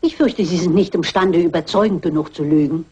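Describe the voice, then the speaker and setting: A woman's voice: fairly low pitch, fairly quick, a little mellow, dull audio, slight background noise, close to an everyday voice. An actress playing an elderly, shrewd amateur sleuth in a German radio crime drama, polite, composed and motherly in her firmness. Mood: calm, reassuring.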